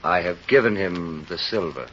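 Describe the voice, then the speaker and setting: An actor playing a gentle, solemn old bishop in a vintage radio drama, with a husky voice and measured delivery. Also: male voice, deep voice, slight background noise. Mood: kindly, calm. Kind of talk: 1930s radio drama acting. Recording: muffled old radio broadcast recording.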